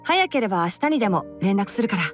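A woman voicing a calm, clever young man of scholarly temper in a Japanese game dub, composed and reasoned. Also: medium pitch, fast, lightly acted. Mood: thoughtful. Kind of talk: Japanese anime game dubbing. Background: background music.

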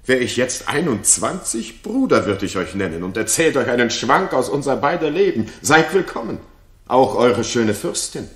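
A man plays a remorseful, aging king in a 1960s German radio drama. His grieving, impassioned speech swings widely in pitch and rings in a roomy studio. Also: big pitch swings, normal speed, natural voice.

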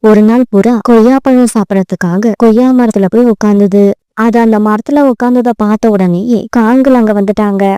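A woman's voice: a little high, steady tone, fairly quick, dark tone, smooth, no room sound. A woman narrating a children's story from a script.

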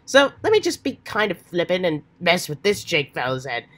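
A woman voicing an earnest, excitable, old-fashioned adventurous teenage boy with hammy, folksy slang, while getting over a cold. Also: lowish voice, normal speed. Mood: mocking. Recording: faint background noise.

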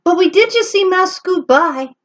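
A woman reading aloud, voicing a flock of little birds who chatter together in a high, twittery way. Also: lively intonation, moderate pace, a bit bright, recorded from a distance.

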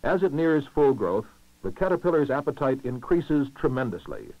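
A man reading scripted narration for a 1960s educational documentary, formal and measured, with clear enunciation. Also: medium pitch, sing-song, no echo. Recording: muffled lo-fi 1960s film soundtrack.

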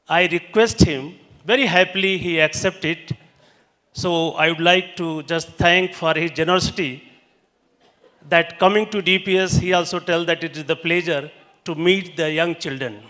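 A middle-aged man with an Indian accent delivers a formal welcome address. His voice is high for a man, with emphatic phrasing, and it rings through a large auditorium's PA with long reverb. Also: sharp tone. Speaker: school principal. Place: large indoor school auditorium stage. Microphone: handheld microphone.

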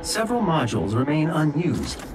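An actor playing a calm, helpful artificial intelligence assistant in a video game, speaking formally and precisely. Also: male voice, fairly high pitch, normal speed, background noise. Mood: calm, instructive. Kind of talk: video game character dialogue.

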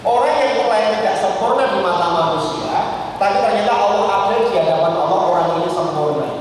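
Echoey high-voiced Javanese-accented male preacher. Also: expressive, a little fast. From Indonesia. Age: middle-aged. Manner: rhetorical questions answered by himself. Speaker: Islamic preacher and psychologist giving a parenting talk. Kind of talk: public religious lecture, explanatory. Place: large indoor hall, seated at a podium table. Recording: echoey hall, distant mic, faint noise.

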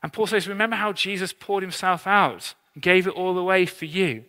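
A man's voice: sits high, a little fast, bright, forceful tone. A man around forty, preaching an informal sermon in a conversational, earnest, self-deprecating way. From England. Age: 30s to early 40s. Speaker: pastor, church leader. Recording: long hall reverb on preaching voice.

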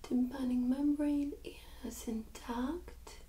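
A woman's voice: high voice, fairly even intonation, slow pace. Whispering young woman, ASMR medical exam. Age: young adult. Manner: soft-spoken, mostly whispering, gently instructing. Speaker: ASMR creator playing a medical examiner. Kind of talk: ASMR medical role-play. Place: small indoor room set up as exam room.

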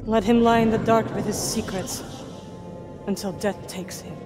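A woman's voice: medium pitch, fairly even intonation, moderate pace, close to an everyday voice. A woman playing a proud, guarded young witch in a video game cutscene, speaking tersely and formally with solemn grief. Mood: cold, guarded. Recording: music behind the voice.

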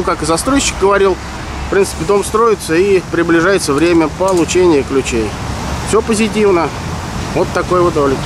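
A man in his thirties, a real estate agent, talking casually and rambling with many fillers as he films a walking tour outdoors on a handheld camera. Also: high-pitched. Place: outdoors in a city park by a busy road. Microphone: handheld camera mic at arm's length. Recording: street traffic noise behind.

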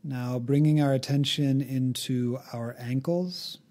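A man narrating a guided meditation in a calm, gently instructive way, leaving long pauses between phrases. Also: medium pitch.

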